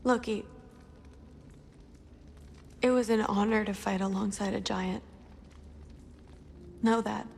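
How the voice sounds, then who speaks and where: A woman's voice: mid-range voice, fairly even intonation, slow, vocal fry, long pauses, a little mellow, a little hiss or hum. A woman voicing a tough, plucky young warrior daughter in a fantasy video game, with a casual, matter-of-fact manner. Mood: resolute.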